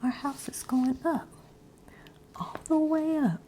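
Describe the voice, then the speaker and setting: An eager little girl from a storybook exclaims in a high, hushed whisper, performed by a woman reading aloud. Her voice is soft and mellow and lifts sharply on each cry. A faint room hum sits behind her. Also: fairly even intonation, takes it slowly. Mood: excited.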